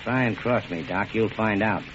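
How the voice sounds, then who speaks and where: A man's voice: on the low side, moderate pace, lightly acted. An actor playing a crude, greedy, menacing gangster with an American accent and slangy tough-guy talk in an old radio drama. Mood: bullying. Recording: muffled, noisy old radio recording.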